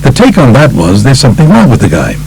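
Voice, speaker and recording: A man's voice: medium pitch, huge pitch swings, fast, creaky, darkish tone, slight background noise, poor audio. A man voicing an earnest prosecutor in an audiobook, speaking formally and emphatically. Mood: earnest, emphatic. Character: earnest male prosecutor addressing a judge. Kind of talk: audiobook character reading.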